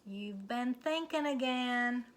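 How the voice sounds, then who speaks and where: A woman voicing a sensible but excitable female cow who reasons and protests in a children's storybook. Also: a little high, takes it slowly, voice pushed up, poor audio. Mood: doubtful, patient. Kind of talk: children's storybook read-aloud.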